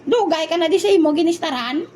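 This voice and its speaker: A woman's voice: very high-pitched, lively intonation, fast talker, darkish tone, a little hiss or hum. A woman voicing a silly, cheeky young boy in a comic audio drama, playful and full of questioning little interjections. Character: silly boy joker Noy Noy, cheeky and simple.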